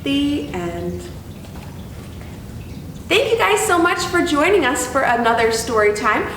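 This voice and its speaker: A very reverberant room carries a woman's voice, slightly distant from the mic. She is an educator reading and talking to children, gentle and deliberate, with an animated, fairly high voice. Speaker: zoo educator and storyteller. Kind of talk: children's storytime reading and talk. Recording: very echoey room, distant mic, faint rumble.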